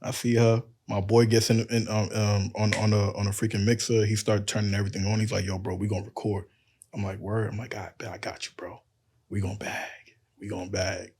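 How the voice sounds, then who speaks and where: A man with a deep voice and a lisp, telling loose stories on a casual podcast and acting out quoted lines. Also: level tone, a little fast, a little mellow, a little hiss or hum. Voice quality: says he has a lisp. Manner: loose storytelling, acts out quotes, laughs often. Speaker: podcast cohost.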